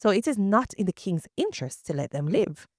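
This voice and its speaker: A woman reading the part of a scheming male royal official in a smooth, persuasive way, close to her own voice. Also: mid-range voice, very animated, fairly quick, no echo.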